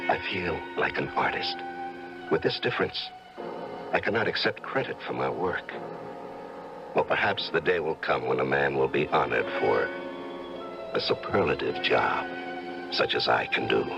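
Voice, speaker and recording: An actor playing a coolly vain, secretly murderous judge and husband in an old-time radio drama, measured and urbane. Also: male voice, extremely low pitch, gravelly, lo-fi sound, heavily acted. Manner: measured, urbane, condescending to his wife.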